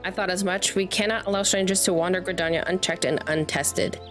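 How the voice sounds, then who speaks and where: A woman's voice: fairly low pitch, fairly even intonation, fairly quick, soft background music, lightly acted. A woman voicing a stern, wary town guard from a fantasy game, reading formal instructions aloud. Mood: stern, instructive.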